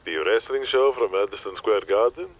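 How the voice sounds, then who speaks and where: A man's voice: lowish voice, animated, fairly quick. Muffled, weary agent on the phone, acted by a man. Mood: skeptical, weary. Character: weary, skeptical male Hollywood agent on the phone. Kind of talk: comic audio drama acting. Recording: dull, muffled phone-call sound.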